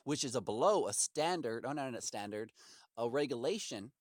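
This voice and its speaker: Emphatic, preachy man with swooping pitch. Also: animated, moderate pace, no room sound, studio quality. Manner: emphatic, aggrieved, preachy, acts out imagined quotes. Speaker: caller to customer service. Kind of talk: impassioned rambling argument on a phone call.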